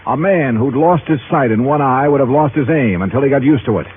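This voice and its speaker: An actor playing a tough, wry American investigator in a 1950s radio detective drama, sardonic and punchy. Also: male voice, medium pitch, lively intonation, brisk, slight background noise, close to an everyday voice. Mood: mocking. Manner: hard-boiled, sardonic, punchy. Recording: muffled 1950s radio broadcast, organ music.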